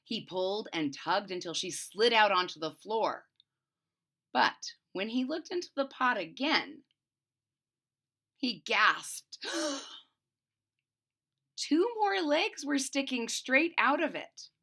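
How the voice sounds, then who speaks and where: A woman storyteller reading a children's picture book aloud expressively, pausing to recap it in simple words. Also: fairly high pitch, expressive, bright, forceful tone.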